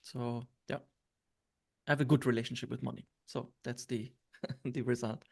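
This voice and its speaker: Gravelly-voiced man from Syria, hesitant. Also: mid-range voice, level tone, normal speed, darkish tone. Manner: hesitant, many fillers and restarts. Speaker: interview guest. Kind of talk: reflective interview answers.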